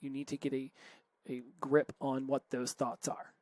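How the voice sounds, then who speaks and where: A man's voice: medium pitch, monotone, moderate pace, darkish tone, no echo. A man hosting a solo self-help podcast, talking earnestly and conversationally with frequent self-corrections. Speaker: podcast host.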